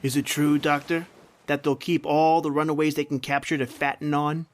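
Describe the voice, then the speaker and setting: An actor playing a surly, suspicious convict thief and cutthroat in a radio horror drama, curt and grumbling. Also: male voice, fairly high pitch, little pitch movement, moderate pace, lightly acted. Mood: suspicious, uneasy. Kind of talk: old-time radio horror drama acting.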